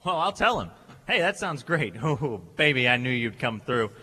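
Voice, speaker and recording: A man's voice: mid-range voice, very animated, moderate pace, bright, forceful tone, breathy edge, full character voice. An actor playing a brash, hearty young businessman who talks big, in a stage radio play. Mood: hearty, boastful. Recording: echoey theatre hall.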